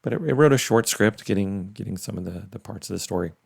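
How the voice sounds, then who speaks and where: Low, gravelly man, casual tech host. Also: fast. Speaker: host of a tech tutorial series. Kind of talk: casual unscripted screen demo commentary.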